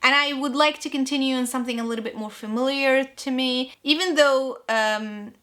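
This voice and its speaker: A young woman with a bright, fairly high voice talks through her reading plans in English, which is not her first language. She rambles a little and often restarts her sentences in a relaxed, chatty way. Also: moderate pace. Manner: chatty, rambling, self-correcting. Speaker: book vlogger. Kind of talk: casual chat about reading plans.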